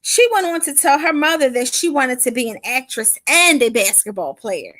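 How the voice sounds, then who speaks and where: A high, bright woman's voice with a sharp, ringing tone. She is a children's author who reads aloud with animated, cheerful emphasis, then chats about her book just as upbeat and motivational. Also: normal speed, no room sound.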